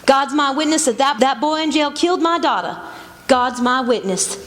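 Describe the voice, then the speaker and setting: A woman's voice: high voice, normal speed, a bit bright, soft background music. A woman playing a hard, bereaved older mother with blunt, folksy speech in a radio drama. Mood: bitter, accusing. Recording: echoey hall stage recording.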